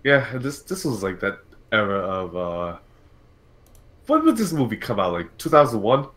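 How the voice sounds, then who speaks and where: Bright, forceful man in halting casual chat. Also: fairly low pitch, very animated. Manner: halting, heavy use of "like". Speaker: podcast co-host. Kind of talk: casual chat, opinionated commentary.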